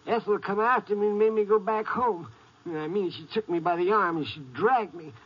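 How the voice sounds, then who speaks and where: Raspy, high-voiced, plaintive old American man. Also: moderate pace. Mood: aggrieved. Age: older. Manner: pleading, plaintive, folksy grammar. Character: frightened, henpecked old man hiding from his domineering daughter. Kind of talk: 1950s radio western acting. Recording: muffled old 1950s radio recording.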